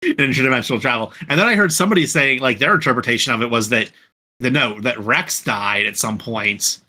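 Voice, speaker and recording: A man in a casual podcast chat, talking in an animated way and thinking aloud with questions. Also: medium pitch, brisk. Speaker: podcast co-host.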